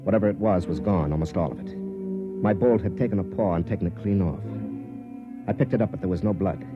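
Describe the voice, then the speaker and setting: A male actor as a hard-bitten American army veteran with a low, weary voice. He speaks in a brooding, cynical way on an old, narrow-band radio drama recording with music around it. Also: fast, natural voice. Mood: grim narrating. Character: weary, cynical career soldier, twenty years in army. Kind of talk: 1950s radio drama acting, first-person narration.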